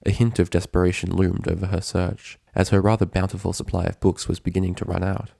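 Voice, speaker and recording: Deep, gravelly man narrating. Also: a little mellow, no room sound, studio quality. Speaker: fan fiction narrator. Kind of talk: scripted story narration.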